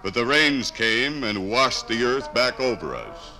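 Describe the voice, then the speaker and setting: A man playing ancient iron ore as a proud, patient character in a 1950s radio drama, speaking deliberately. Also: medium pitch, sing-song. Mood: proud, steadfast. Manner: slow, proud, deliberate storytelling. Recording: vintage radio broadcast with music.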